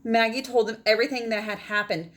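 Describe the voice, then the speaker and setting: A woman's voice: a little high, steady tone, fast, fairly bright tone. A woman teacher reading a children's storybook aloud in a dramatic manner, often dropping toward a whisper.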